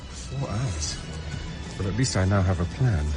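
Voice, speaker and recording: A man's voice: low voice, expressive, a little slow, loud music behind the voice. An actor playing a hunted, resourceful man, muttering to himself in short urgent bursts in a radio drama. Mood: wary, calculating. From England. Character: hunted Englishman on the run, anxious, resourceful, thinking aloud. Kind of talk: radio drama inner monologue.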